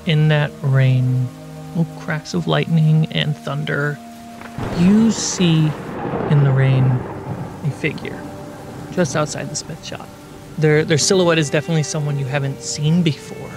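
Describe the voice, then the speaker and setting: Man narrating as game master over music. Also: on the high side. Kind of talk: narrating a tabletop fantasy game.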